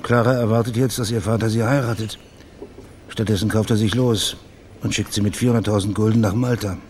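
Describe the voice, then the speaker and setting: Male private investigator, played by an actor, radio crime play: low register, plain-spoken, probing, background ambience audible. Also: steady tone, fairly quick, darkish tone, slight background noise, close to an everyday voice. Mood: matter-of-fact. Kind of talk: German radio crime drama acting.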